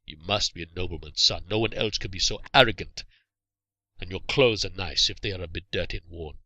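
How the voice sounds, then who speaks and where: Guy doing a storybook saracen warrior, stern but merciful, formal and measured, very sharp forceful voice, dry sound. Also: deep voice, no echo. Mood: menacing.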